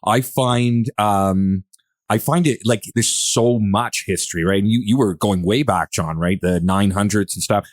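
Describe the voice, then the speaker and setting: Low-voiced man hosting a casual discussion. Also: no room sound, studio quality. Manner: halting, rambling, often restarts phrases. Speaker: podcast host. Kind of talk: casual discussion, posing questions.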